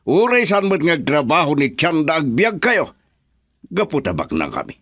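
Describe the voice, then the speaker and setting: A man's voice: a little high, big pitch swings, normal speed, a bit bright, dry recording, clean studio sound, exaggerated voice. Haughty rich father, swooping delivery. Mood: haughty, pressing. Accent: Filipino. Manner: stern, condescending, emphatic. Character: wealthy, haughty, disapproving father of a young woman. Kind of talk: radio drama acting.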